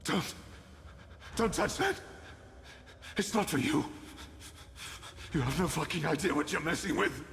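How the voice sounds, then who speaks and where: An actor playing a desperate, power-hungry villain in a melodramatic game cutscene, with a gravelly voice. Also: male voice, mid-range voice, animated, takes it slowly, fairly bright tone, heavily acted. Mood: panicked fury. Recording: echoing hall, faint noise.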